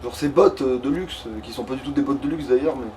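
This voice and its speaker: A man's voice: medium pitch, fast, mellow. A man thinking aloud informally with some swearing as he plays a video game, picked up from a distance with faint noise. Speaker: let's play player. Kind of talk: casual gameplay chat while playing.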